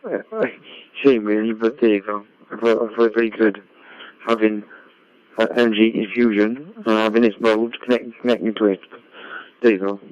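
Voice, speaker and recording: Male radio amateur, smooth low voice, halting casual chat, lo-fi radio transmission. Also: steady tone, a little mellow, dry recording. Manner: halting, repeats phrases. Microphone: radio link played through a computer. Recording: dull low-fi digital radio link.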